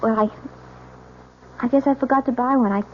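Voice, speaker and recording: An actress playing an anxious, fragile newlywed wife in a 1970s radio drama, speaking in halting, proper, defensive phrases. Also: female voice, on the high side, fairly even intonation. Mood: uneasy, evasive. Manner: halting, pleading, proper diction. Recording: vintage radio broadcast, dull, music behind.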